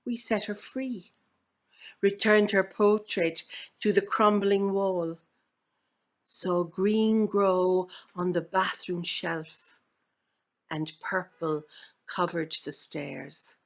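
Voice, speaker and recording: An Irish woman reading a poem as an actor would, with measured, deliberate and theatrical phrasing. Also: mid-range voice. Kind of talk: dramatic poetry reading.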